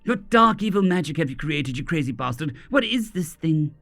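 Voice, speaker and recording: A man voicing an angry young female mage who yells and accuses. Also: expressive, normal speed, creaky, soft background music, heavily acted. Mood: furious. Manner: shrill, yelling, accusing.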